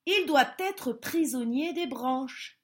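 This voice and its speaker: A woman's voice: high voice, lively intonation, slow. A woman doing a sheepish little bear cub. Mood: eager. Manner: eager, slightly sheepish.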